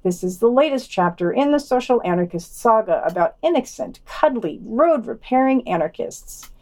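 A woman's voice: a little high, animated, normal speed, a little mellow. A woman reading scripted news items and long quotations for a podcast, dropping in wry asides.

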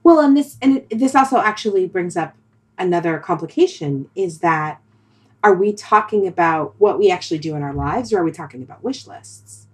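A woman's voice: on the low side, lively intonation, fairly quick, slightly rough. A woman in a casual, reflective discussion, thinking aloud and repeating words for emphasis. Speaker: podcast discussion participant.

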